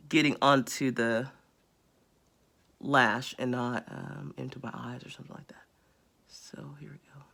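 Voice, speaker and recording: A woman in her thirties with a raspy voice, chatting casually while she demonstrates a beauty routine close to a phone camera indoors. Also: rumbling low, fairly bright tone. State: raspy after illness. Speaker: beauty vlogger. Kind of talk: casual how-to demo. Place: small indoor room.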